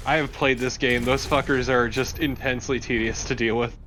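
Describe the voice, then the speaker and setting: A man's voice: mid-range voice, steady tone, brisk, fairly bright tone, slight background noise. A man, a young adult, chatting casually as co-commentator over gameplay, conversational and swearing freely. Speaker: co-commentator on a let's play.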